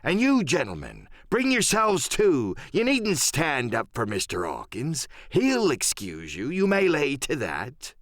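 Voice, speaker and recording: A man voicing an older pirate captain in an audiobook, sly and genial yet menacing, drawling sailor's slang in unhurried phrases. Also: fairly high pitch, wildly swooping pitch, fairly bright tone, dry recording, clean studio sound, pitched above the performer's own voice, full character voice. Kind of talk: audiobook radio-play character acting.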